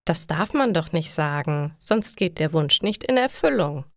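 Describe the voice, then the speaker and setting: Woman acting gentle storybook husband, subdued, slow, carefully enunciated, dry sound. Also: low voice, animated, no echo. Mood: wistful.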